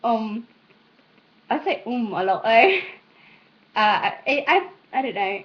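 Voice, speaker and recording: A woman's voice: fairly high pitch, big pitch swings, slow. A young American woman from the South speaks in an adopted British accent, chatting casually and hesitantly as she meanders and interrupts herself. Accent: British, put on by an American. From Southern United States. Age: young adult. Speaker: amateur vlogger. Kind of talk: casual rambling chat to camera. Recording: dull, lo-fi sound.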